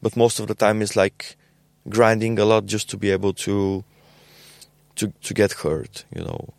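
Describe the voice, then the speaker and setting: A young adult man with a Bulgarian accent, a podcast guest talking casually in a halting way with many fillers and repeated words. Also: fairly low pitch, level tone, vocal fry, no room sound. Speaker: podcast guest, label co-founder. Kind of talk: casual conversational interview.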